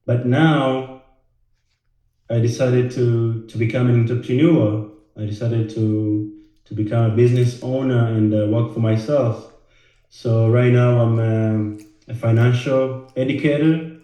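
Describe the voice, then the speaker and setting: A man from Rwanda, a financial educator, talking casually to the camera, halting with many fillers and repeated words. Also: mid-range voice, steady tone, mellow. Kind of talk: casual direct-to-camera talk. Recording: very echoey room.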